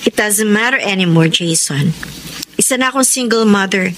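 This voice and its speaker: A woman's voice: medium pitch, animated, normal speed, fairly bright tone, natural voice, poor audio. An actress playing a polite, hesitant young single mother in a Tagalog radio drama. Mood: regretful. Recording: faint background noise.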